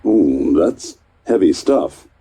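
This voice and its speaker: Video game scientist: dark, husky timbre, lively pitch, chatty, patronising encouragement to a test subject. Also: male voice, slow pace, exaggerated voice. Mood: breezy, encouraging. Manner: patronising, encouraging, hesitant asides. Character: chatty, distracted male scientist running tests on a subject. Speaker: test supervisor. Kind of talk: game voice acting.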